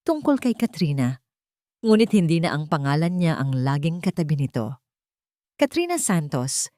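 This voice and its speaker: A woman's voice: low voice, a little mellow, no echo, studio quality. A woman narrating a dramatic romantic audio story.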